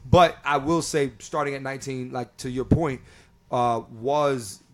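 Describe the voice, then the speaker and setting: A man's voice: mid-range voice, measured pace. A man in his thirties from Philadelphia talks as a guest comedian in a loose, easygoing chat. He rambles in long, winding runs with plenty of fillers and restarts. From Philadelphia area, US. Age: 30s. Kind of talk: casual chat.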